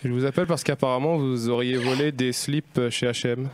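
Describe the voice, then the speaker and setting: A young man playing an officious, insistent police colonel on a prank call, formal and deadpan. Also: medium pitch, fairly quick, pitched below the performer's own voice, close to an everyday voice. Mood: deadpan, official.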